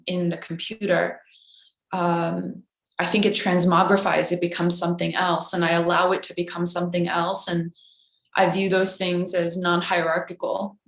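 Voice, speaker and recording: A woman with a low, flat, gravelly voice speaks very slowly and deliberately, answering a panel question. Her voice sounds roomy and echoing. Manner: slow, deliberate, pausing to rephrase. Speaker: poet reading panelist. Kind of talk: slow reflective spoken answer in a panel Q&A.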